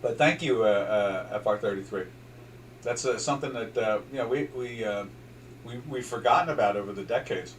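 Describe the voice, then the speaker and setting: A man's voice: fairly low pitch, normal speed, recorded from a distance, slight background noise, poor audio. An older man from southern New Jersey with a South Jersey accent, chatting loosely about currency charts as host, full of fillers and restarts. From southern New Jersey, USA. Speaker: trading show host. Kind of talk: casual market commentary over charts.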